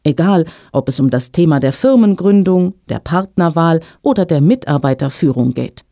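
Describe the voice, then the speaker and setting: A woman whose native language is Russian, reading her own magazine column aloud in a measured, clear way for language learners. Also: deep voice, lively intonation, dark tone, no room sound, studio quality.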